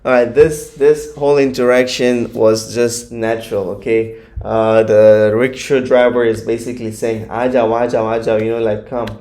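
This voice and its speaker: A man's voice: mid-range voice, level tone, normal speed, few pauses, a little mellow, a little hiss or hum. Chatty male reaction host. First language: Gujarati. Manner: chatty, nitpicking, many fillers. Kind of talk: casual reaction commentary.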